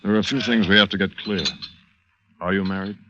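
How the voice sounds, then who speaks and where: A man's voice: on the low side, fairly even intonation, fairly quick, heavy background noise, lightly acted. A man playing an urbane, smitten hero who narrates his own story in wry, polished 1940s radio style. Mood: urgent, intent. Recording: muffled vintage radio drama with music.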